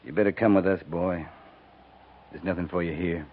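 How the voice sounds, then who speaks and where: An actor playing a steady, weary US cavalry captain in a 1950s radio western, speaking in a calm, laconic, plain-spoken way. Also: male voice, deep voice, moderate pace, close to an everyday voice. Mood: calm, reassuring. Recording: noisy, tinny 1950s radio recording.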